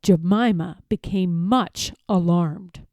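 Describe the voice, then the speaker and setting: A woman narrating a children's story aloud as an expressive storyteller, reading from scripted text. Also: fairly low pitch, slow pace, no echo.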